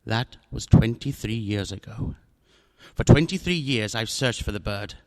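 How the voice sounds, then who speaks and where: An actor playing a fat, genteel, scheming older villain with a florid, unctuous manner in a radio drama. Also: male voice, lowish voice, lively intonation, measured pace, darkish tone. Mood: smooth, storytelling.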